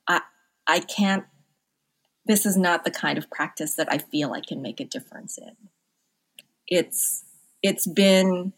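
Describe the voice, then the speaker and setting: Middle-aged woman from the philippines, kinda low voice, talks slow and thoughtful with lots of restarts. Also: fairly bright tone. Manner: thoughtful, halting restarts with occasional laughs. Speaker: interview guest, physician. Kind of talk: conversational interview.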